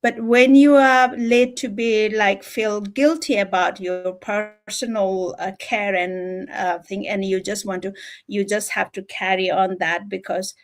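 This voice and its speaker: A woman from Nepal with a Nepali accent, giving an informal motivational talk in an earnest, emphatic, halting way, often repeating phrases. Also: mid-range voice, steady tone. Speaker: livestream speaker.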